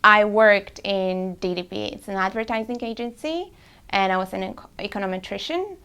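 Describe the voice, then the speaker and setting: Young woman, bright crisp voice, direct self-introduction. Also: mid-range voice, normal speed. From Poland. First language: Polish. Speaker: market researcher.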